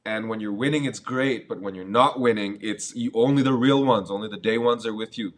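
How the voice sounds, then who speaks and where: A man's voice: lowish voice, brisk. Chatty male interviewer, says "bro". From Bangladesh. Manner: chatty, enthusiastic, calls guest "bro", laughs often. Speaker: interviewer, host. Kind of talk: casual interview chat.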